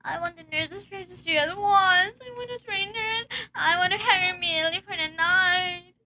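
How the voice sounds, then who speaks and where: A woman's voice: very high voice, normal speed, pushed and bright, full character voice, rough recording. A woman playing a nervous, demanding invalid wife in an amateur radio play, pleading and stammering urgently over background noise. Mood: impatient, demanding.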